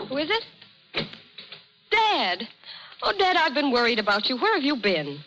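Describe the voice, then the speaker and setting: An emotional, headstrong young socialite in a vintage radio play. Her voice is on the high side and very animated in pitch. She pleads dramatically, with faint music in the recording. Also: a little slow. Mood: distressed, reproachful. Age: young adult. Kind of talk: 1930s radio drama acting.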